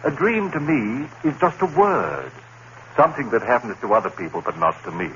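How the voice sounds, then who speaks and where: A man's voice: medium pitch, very animated, moderate pace, a bit bright. An actor in a 1950s radio suspense play, voicing a dramatic, brooding accused murderer who narrates his thoughts. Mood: amused, assured. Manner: brooding, dramatic inner monologue. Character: anguished accused murderer, a biology teacher, haunted narrator. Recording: dull, noisy old radio recording.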